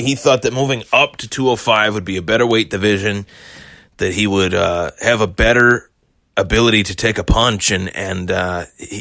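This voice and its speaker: A man hosting a solo sports podcast, hoarse and a bit hungover, rambling through fight reactions with many fillers and restarts. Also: fairly low pitch, bright, forceful tone, no room sound, studio quality. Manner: rambling, repeats himself, frequent fillers. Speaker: podcast host.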